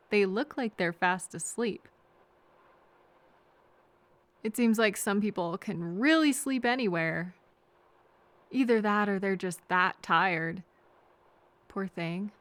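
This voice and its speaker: A woman playing a kind, anxious, chatty traveler who gently overthinks and thinks aloud in a hesitant, earnest audio roleplay. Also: medium pitch, unhurried, no room sound, natural voice. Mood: musing, weary.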